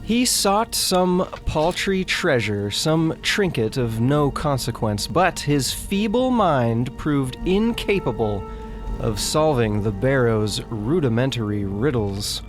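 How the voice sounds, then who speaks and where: A man's voice: mid-range voice, big pitch swings, slow pace. A man voicing a haughty, condescending Imperial, narrating a theatrical recap in florid, scornful phrases. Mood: contemptuous. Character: pompous, condescending Imperial man sneering at provincial peasants. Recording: music behind the voice.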